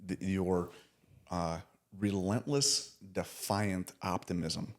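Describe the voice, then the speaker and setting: Deep-voiced man in his forties hosting. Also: slow. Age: 40s. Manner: earnest, warm praise with casual slang. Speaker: podcast host. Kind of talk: casual interview chat.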